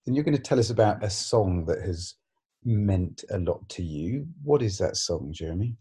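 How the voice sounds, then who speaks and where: Low, husky, mellow-voiced middle-aged man. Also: lively intonation, normal speed. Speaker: church podcast host, interviewer. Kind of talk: casual interview.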